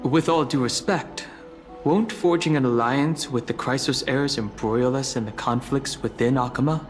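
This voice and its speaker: A man playing a calm, guarded and courteous young traveler in a video game, speaking politely and with reserve. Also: medium pitch, moderate pace, soft background music, lightly acted. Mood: wary, polite.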